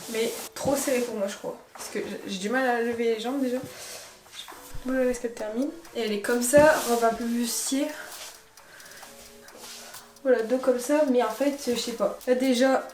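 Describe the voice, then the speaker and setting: A woman's voice: on the high side, a little mellow, reverberant. A woman with a cold chatting casually as she unboxes and tries on clothes, rambling and correcting herself. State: has a cold. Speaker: vlogger. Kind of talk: casual try-on haul chat.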